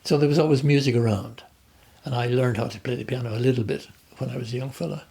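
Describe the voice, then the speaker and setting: Gravelly older Irish man, reminiscing. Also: mid-range voice, steady tone, fast, warm tone. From Ireland. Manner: hesitant, with many restarts and fillers. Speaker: interviewee. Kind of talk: reflective conversational storytelling.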